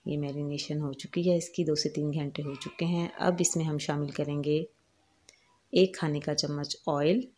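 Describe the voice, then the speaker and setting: A woman talks through a recipe at home, one step at a time. Her voice is low and mellow, and her delivery stays calm and instructive. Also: normal speed. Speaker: cooking channel host. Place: home kitchen.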